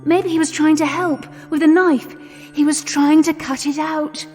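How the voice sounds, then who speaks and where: A young Englishwoman of the 1920s, high in pitch and anxious, yet resolute. She speaks urgently with an English accent, in radio-drama style. The space rings with long reverb, and music plays underneath. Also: fairly even intonation, unhurried, darkish tone. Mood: tense, fearful. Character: frightened, resolute young Englishwoman, trapped job seeker.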